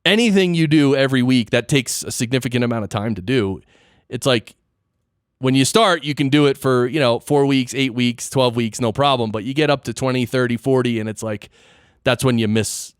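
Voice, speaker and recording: A man chats as a podcast host in a very dry, close recording. His pitch sits in the middle for a male voice. He explains things informally and often turns a point into a question for his guest. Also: fairly quick, clean tone, dry recording. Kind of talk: casual interview chat about audio gear and production.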